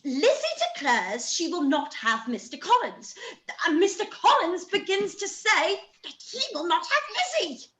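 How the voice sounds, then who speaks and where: A woman's voice: very high-pitched, very animated, unhurried, a bit bright, reverberant, exaggerated voice. An actress playing a fretful, overwrought middle-aged mother in a period radio play, with theatrical delivery. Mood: fretful, insistent. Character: shrill, melodramatic, fretful middle-aged mother of marriageable daughters. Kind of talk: period drama live-read radio play.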